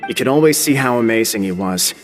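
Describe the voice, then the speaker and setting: Man playing a mid-pitched, guarded prison tough. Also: lively intonation, fast talker, soft background music, natural voice. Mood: admiring. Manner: tough, plain-spoken. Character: guarded male prison gang member, tough, admiring follower. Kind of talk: video game voice acting.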